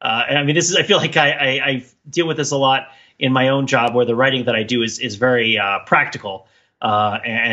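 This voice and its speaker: Male podcast co-host, mid-pitched and bright. Discursive, casual, thinks out loud. Slightly lo-fi sound. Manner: discursive, thinking aloud, often tags points with "right?".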